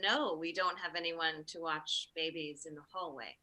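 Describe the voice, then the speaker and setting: A woman's voice: low voice, steady tone, moderate pace, bright, forceful tone. A woman playing a blunt, matter-of-fact family therapist of about fifty, asking probing questions in a comedy table read. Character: brisk blunt fifty-year-old female family therapist. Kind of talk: live table read of an animated comedy script.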